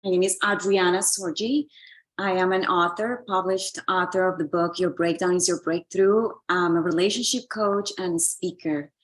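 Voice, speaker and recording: A woman's voice: fairly low pitch, steady tone, normal speed, soft background music, low-quality recording. A woman from Colombia, a relationship coach and author, speaking earnestly as an interview guest, often repeating words as she searches for them.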